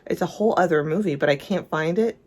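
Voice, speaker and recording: Low-voiced woman giving casual film commentary. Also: fast talker, darkish tone, slightly rough. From England. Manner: enthusiastic, opinionated. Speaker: video essayist.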